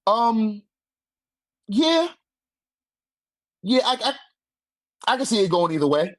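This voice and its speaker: A man's voice: sits high, big pitch swings, slow pace, no echo. A man in a casual debate, speaking informally with heavy slang and swearing. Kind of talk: casual debate about manga character rankings.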